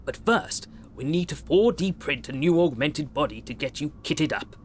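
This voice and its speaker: Brisk, encouraging male game doctor, played by a male actor, instructive and formal, lightly high pitch, ambient noise behind. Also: animated, natural voice. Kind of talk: video game tutorial dialogue acting.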